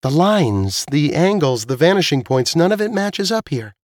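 A man voicing a sharp, earnest young woman in audiobook dialogue, explaining things insistently. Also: fairly high pitch, sing-song, normal speed, dry recording, studio quality, voice pushed up.

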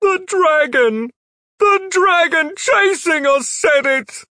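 A man voicing a cowardly male thug on a dragon, shrill and shouting in panic. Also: lively intonation, slow pace, no room sound, studio quality, full character voice. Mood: panicked.

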